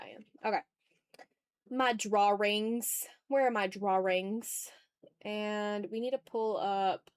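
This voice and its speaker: A woman's voice: medium pitch, a little mellow, no room sound. A woman streamer talking casually and informally to her chat while she draws, in a rambling, off-the-cuff way. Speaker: art streamer and ASMR YouTuber hosting a livestream.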